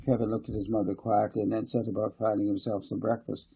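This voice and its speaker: A man's voice: fairly low pitch, little pitch movement, fast talker, creaky, husky, muffled recording. A man reading a story aloud as a scripted audiobook narrator. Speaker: author reading own book as narrator.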